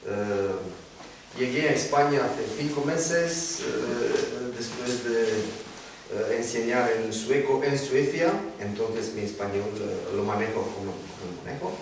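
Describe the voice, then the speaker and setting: Male panelist, slow and hesitant, distant echoey room sound. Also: medium pitch, gravelly, noisy background. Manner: halting, many filler hesitations. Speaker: panelist, literary scholar. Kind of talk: round-table talk. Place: lecture room.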